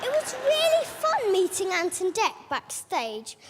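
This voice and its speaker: A woman comedian delivers punchy one-liners in a very high, lively voice. She takes her time with each line. The sound echoes through a hall under loud background noise. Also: unhurried. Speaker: comedian contestant.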